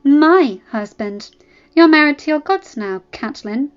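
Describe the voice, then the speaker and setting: A woman's voice: high voice, lively intonation, unhurried, lo-fi sound, voice pushed up. A woman voicing a proud, defiant young noblewoman who answers her rival with cold, cutting retorts.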